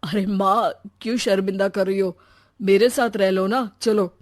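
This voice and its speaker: A grown son in a storybook family tale, put on by a woman narrator, speaks in a coaxing, contrite way. The voice sits at an ordinary female pitch and sounds apologetic toward an elderly parent. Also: fairly even intonation, a little slow, a little mellow, lightly acted.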